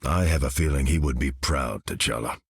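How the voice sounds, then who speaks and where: A man playing a gruff, steadfast veteran soldier in a video game, with an American accent and a tough, plain-spoken manner. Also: very deep voice, normal speed, dry recording, clean studio sound, full character voice. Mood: gruff, sincere. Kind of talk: video game character voice acting.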